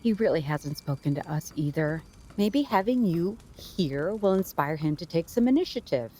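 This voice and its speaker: A woman's voice: lowish voice, animated, moderate pace, warm tone. An actress playing a firm, coaxing, practical middle-aged mother of grown sons in an audio drama. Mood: gently concerned. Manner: firm, coaxing, matter-of-fact.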